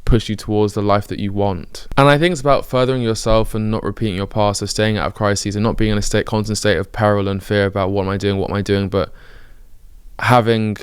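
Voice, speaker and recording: A thirty-year-old man with a British accent speaks to camera in a candid, self-correcting ramble. His voice sits a little low and comes across smooth and clear, and he rattles along at a brisk clip. The sound is close and dry, with no room around it. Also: fairly even intonation, no echo. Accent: British English. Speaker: vlogger. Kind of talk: reflective personal monologue.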